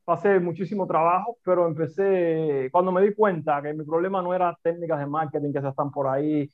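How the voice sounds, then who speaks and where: A man's voice: fairly high pitch, fairly quick. Cuban man on a tinny remote line. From Cuba. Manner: animated, talks in repeated short phrases. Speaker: podcast guest, entrepreneur. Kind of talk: conversational interview.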